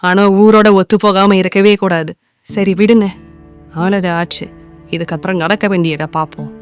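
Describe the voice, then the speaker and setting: A woman acts a village wife in a cartoon folktale, frank but supportive. Her voice is smooth and clear, recorded dry, with light music behind it. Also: medium pitch, steady tone, moderate pace, no room sound, lightly acted. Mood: consoling. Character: village man's wife, reproachful yet supportive. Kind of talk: acted dialogue in animated moral tale.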